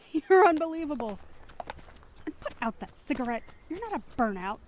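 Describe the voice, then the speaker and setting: A woman's voice: sits high, sing-song, normal speed, noisy background. A woman acting a firm, wary, scolding middle-aged mother in an audio drama.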